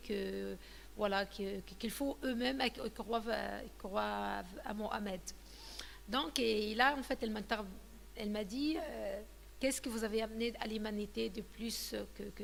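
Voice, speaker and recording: Gravelly-voiced woman in her thirties from Tunisia. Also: a little high, long reverb tail, a little hiss or hum. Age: 30s. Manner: hesitant, many fillers and restarts. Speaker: guest giving a testimony. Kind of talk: personal testimony interview.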